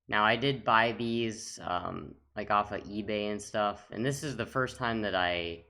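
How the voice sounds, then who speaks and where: A man giving casual, unscripted gaming commentary as a channel host, talking in a halting way as he thinks aloud. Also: on the low side, vocal fry, pushed and bright.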